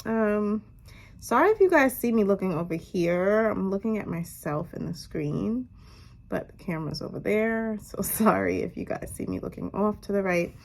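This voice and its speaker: A woman's voice: medium pitch, animated, normal speed, creaky. A young woman with a stuffy, slightly nasal voice tells a casual story time to her camera at home, with lots of fillers. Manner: chatty, lots of "um" and "you guys". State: congested, a little nasal. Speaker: vlogger. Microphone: camera on tripod.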